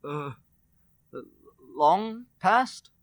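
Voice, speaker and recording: A man's voice: high voice, lively intonation, extremely slow, fairly bright tone, exaggerated voice. A man playing an anxious, wistful elderly miser in an old-time radio drama, stammering and exclaiming. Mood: nervous. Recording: echoey hall, distant mic, music behind.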